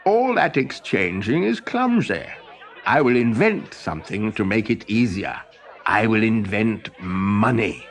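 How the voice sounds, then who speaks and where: A man's voice: lowish voice, sing-song, measured pace, a faint crowd. A man voicing an earnest, self-assured male inventor who explains things in a children's story. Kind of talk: storybook character voice.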